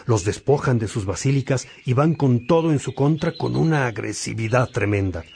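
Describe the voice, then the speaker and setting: An actor playing a patient, devout bishop in a radio drama, speaking in a calm, measured and instructive way. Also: male voice, mid-range voice, creaky, slightly rough. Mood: earnest.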